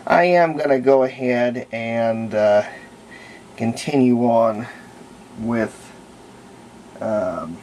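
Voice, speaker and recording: A man giving a casual, unscripted hands-on demonstration as a hobbyist restorer, thinking aloud in an unhurried way. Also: mid-range voice, a little mellow, slight background noise. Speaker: hobbyist restorer of antique radios, presenter.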